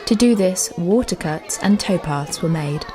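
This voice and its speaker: A woman's voice: on the low side, lively intonation, unhurried, warm tone, faint music behind, some chatter behind. A woman reading scripted, educational narration as the guide of an audio walk.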